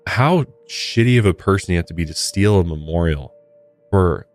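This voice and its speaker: A man's voice: sits low, lively intonation, moderate pace, faint music behind. A man with an American accent narrating true crime as a podcast host, with casual commentary mixed in.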